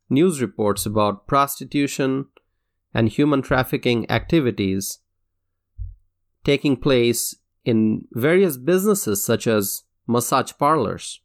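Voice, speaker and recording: A man from Bangladesh hosting a solo talk show, speaking in an earnest, measured way with occasional restarts. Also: medium pitch. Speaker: podcast host. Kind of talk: reflective solo commentary.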